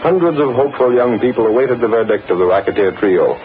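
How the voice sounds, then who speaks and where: An actor working as the formal, dramatic narrator of an old-time radio crime serial. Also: male voice, medium pitch, animated, quick pace, close to an everyday voice. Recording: tinny old radio sound with music.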